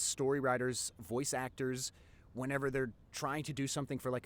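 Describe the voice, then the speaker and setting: A man's voice: medium pitch, a bit bright, close to an everyday voice. A man playing a nerdy, introverted young boyfriend in an intimate audio roleplay, earnest and rambling with a nervous stammer. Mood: indignant, passionate. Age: young adult. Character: nerdy introverted young boyfriend, wacky, tender, passionate artist.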